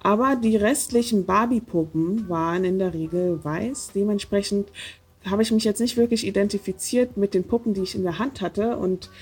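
A woman's voice: mid-range voice, moderate pace, a little mellow, slightly rough, soft background music. A woman hosting a casual podcast interview, talking with many hesitant fillers. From Germany. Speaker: podcast host.

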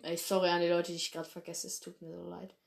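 A woman's voice: on the low side, completely flat delivery, quick pace, gravelly. A woman chatting casually in her bedroom, giggly and rambling, laughing at her own mistakes. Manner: giggly, rambling, self-deprecating. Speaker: host of a fan clan roleplay channel.

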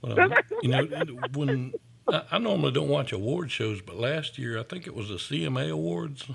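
A man's voice: mid-range voice, lively intonation, normal speed, creaky, a bit bright, breathy edge. A man who works as a paramedic, telling stories in an earnest, easygoing way during a casual chat. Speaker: paramedic, podcast host.

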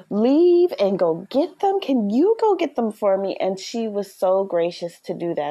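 Soft-spoken woman podcast host, mellow tone. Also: on the low side. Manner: soft-spoken, earnest, conversational with fillers. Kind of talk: casual advice talk.

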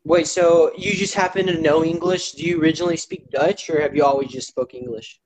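A fairly high-voiced man hosts a relaxed podcast over an online call. He is playful and curious, firing off questions to his guests. The line sometimes breaks up. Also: brisk, a crowd in the background, low-quality recording. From Mexico. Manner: playful, upbeat, asks lots of questions. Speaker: podcast host. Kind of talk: casual chat.

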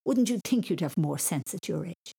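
A woman voicing a frightened, excitable, cheeky little boy in a children's story, given to exclaiming and stammering indignantly. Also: on the low side, moderate pace, gravelly, slightly rough, exaggerated voice. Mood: indignant, teasing.

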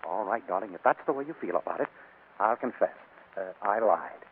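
An actor playing a pleading, comically persistent husband, stammering and exasperated in 1940s radio comedy style, on a muffled old broadcast. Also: male voice, on the low side, lively intonation, fairly quick, slight background noise. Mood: miserable, coaxing.

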